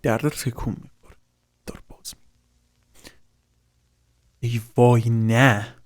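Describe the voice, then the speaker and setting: A man's voice: mid-range voice, level tone. A man gives casual reaction commentary as a host, swearing informally and dropping in English slang.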